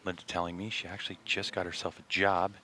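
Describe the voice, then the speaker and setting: Really deep voiced guy calling the match, noisy in the background. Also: little pitch movement, fast, pushed and bright. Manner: storytelling, sets up co-host. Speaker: play-by-play commentator. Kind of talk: live sports commentary.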